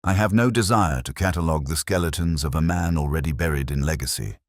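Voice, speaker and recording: A man voicing a brooding, sharp-minded Victorian gentleman detective in an audiobook, speaking in terse, deliberate, formal phrases. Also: extremely low pitch, no room sound, studio quality. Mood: dismissive.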